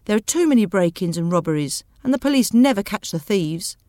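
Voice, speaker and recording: A woman's voice: medium pitch, animated, a little fast, darkish tone, no echo, clean studio sound, natural voice. A woman voicing a nosy, easily amazed male factory friend in a story, speaking in an emphatic, exclaiming way. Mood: admiring.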